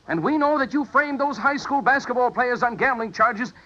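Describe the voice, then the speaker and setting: An actor playing an indignant, righteous district attorney in a 1940s radio drama. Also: male voice, very high-pitched, animated, normal speed, exaggerated voice. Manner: indignant, forceful. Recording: dull old radio recording.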